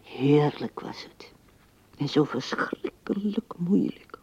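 An elderly widow muses tenderly to her late husband in a radio play. She speaks very slowly and haltingly, with a gravelly creak, often sinking into a murmur. The 1970s recording is dull. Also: low-pitched, expressive, dark tone, no echo, whispered, heavily acted. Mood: wistful musing. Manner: halting, musing, drifting into murmurs. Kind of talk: radio drama monologue.

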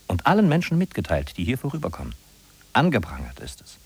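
Bleak ironic narrator, lowish pitch, highly animated, declamatory, vintage radio play. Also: male voice, fast, full character voice. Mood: bitterly ironic. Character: sardonic male narrator of a bleak big-city inventory. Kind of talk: 1950s German radio drama narration. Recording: husky-sounding 1950s radio recording from cassette.

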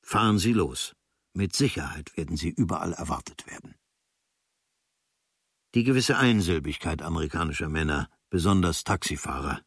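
Low-voiced man reading a literary travel diary. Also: expressive, normal speed, creaky, husky, no room sound, clean studio sound. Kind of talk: literary audiobook reading, scripted narration. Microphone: close studio microphone.

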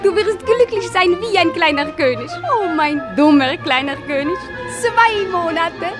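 A woman's voice: very high voice, lively intonation, measured pace, loud music behind the voice. An actress playing a flighty, teasing, mercenary French kept woman in a German radio drama, coquettish and theatrical, sprinkling French phrases into her talk. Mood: excited coaxing.

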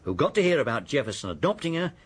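A police detective in a radio drama. He reasons in a deliberate, sardonic way. His voice is husky and moves freely up and down in pitch. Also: very animated. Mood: confident. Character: matter-of-fact police detective weighing up suspects.

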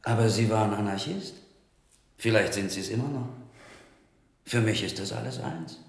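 An actor playing a suspicious, mocking police inspector who speaks tersely in a radio crime drama. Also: male voice, lowish voice, moderate pace, echoey room, lightly acted. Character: suspicious, needling male police inspector, gruffly disdainful.

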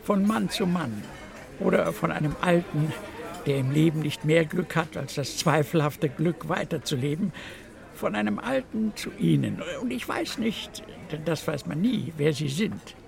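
A resigned old newspaper man rambles confidingly in a radio play. His voice is heavily cracked and creaky, a little high for a man. He sounds weary and hesitant. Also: expressive, a little slow, crowd noise, exaggerated voice. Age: older. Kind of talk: literary German radio play dialogue.

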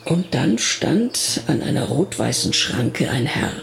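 A man with a gravelly voice narrating a radio drama in the first person, reminiscing in a conversational way. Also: mid-range voice, big pitch swings, slow, soft background music, heavily acted.

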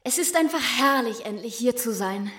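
A cheerful young girlfriend in a radio play. Her high voice gushes along, and an echoey room surrounds it. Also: animated, normal speed, a bit bright. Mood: gushing. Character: young woman, the girlfriend, bright and chatty.

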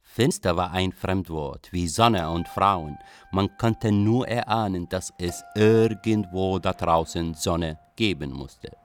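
Reflective young refugee writer, lowish voice. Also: male voice, slow pace. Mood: melancholic, thoughtful. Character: young male Iraqi refugee writer, thoughtful, wryly melancholic. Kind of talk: literary first-person radio drama narration. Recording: music bed behind voice.

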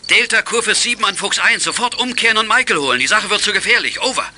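A man's voice: sits high, lively intonation, fast talker, background noise. A middle-aged man playing a commanding, concerned father who gives clipped radio orders in a children's radio play. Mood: worried, firm.

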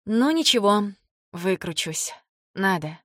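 A woman's voice: mid-range voice, sing-song, slow pace, no room sound, clean studio sound. Hesitant, devoted grown daughter, voiced by a woman. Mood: unsure. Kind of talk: audiobook character dialogue.

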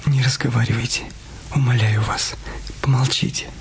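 A man acting a frightened, well-brought-up runaway boy in a radio play, pleading politely in a hushed, toneless way. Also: mid-range voice, fairly even intonation, moderate pace, vocal fry, natural voice. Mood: whispered pleading. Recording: loud music behind the voice.

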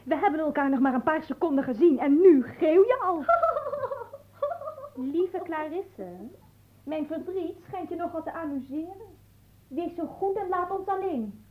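A woman's voice: extremely high pitch, unhurried, phone-quality sound. A woman playing a capricious, oversensitive young noblewoman in a comic radio play, theatrical and haughty in manner. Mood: haughty, teasing. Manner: theatrical, haughty, swooping between teasing and wailing.

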